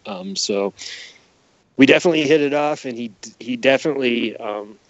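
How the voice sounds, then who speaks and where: A man's voice: mid-range voice, normal speed, no echo, highs cut off. A man speaking as an interview guest in a casual podcast, explaining earnestly in a rambling way with repeated words.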